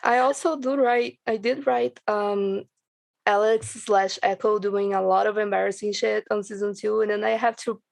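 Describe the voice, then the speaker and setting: A woman chatting casually as a podcast guest, self-mocking and quick to laugh. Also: mid-range voice, fairly even intonation, creaky, no room sound. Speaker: writer and voice actor, panel guest. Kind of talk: casual chat.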